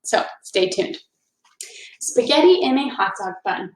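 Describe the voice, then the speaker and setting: High-voiced woman reading to children, roomy sound. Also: big pitch swings, a little slow. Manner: explains simply for young children. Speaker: teacher reading aloud to a class. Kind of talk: children's picture book read-aloud. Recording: roomy, echoing indoor sound.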